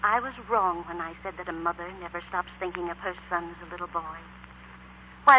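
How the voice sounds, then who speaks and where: Tinny old radio, actress as gentle loving mother. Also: fairly low pitch, close to an everyday voice. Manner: tender, measured, sentimental delivery. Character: gentle, proud, loving middle-aged widowed mother. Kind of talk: 1940s American radio drama acting. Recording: tinny, noisy, humming 1940s radio recording.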